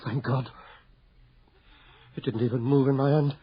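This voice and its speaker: An actor playing a grieving, reluctant husband and father in a radio horror drama, with halting, pleading delivery. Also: medium pitch, fairly even intonation, husky. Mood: relieved, tender. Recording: dull, noisy 1980 radio broadcast recording.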